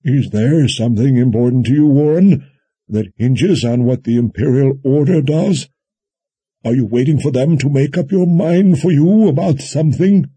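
A man voicing a wry, folksy, kindly old wizard in an audiobook, musing and teasing in a drawn-out way. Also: medium pitch, mellow, slightly rough, no room sound, voice pushed up, close to an everyday voice. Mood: probing. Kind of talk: audiobook character dialogue.

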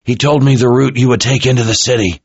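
A captured enemy officer from a fantasy audiobook, acted by a male narrator, speaks well above the narrator's usual pitch. He is a thuggish soldier, dazed but smug and menacing, on a slightly muffled recording. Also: steady tone, creaky, breathy edge, no room sound. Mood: dazed, frightened. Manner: mumbling, halting. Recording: muffled, dull-sounding recording.

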